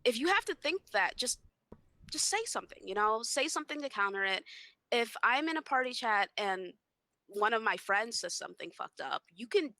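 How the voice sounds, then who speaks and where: Outspoken female panelist. Higher, sharp-edged voice, emphatic and informal, swears casually. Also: big pitch swings, normal speed, dry recording. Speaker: podcast panelist. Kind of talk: casual chat.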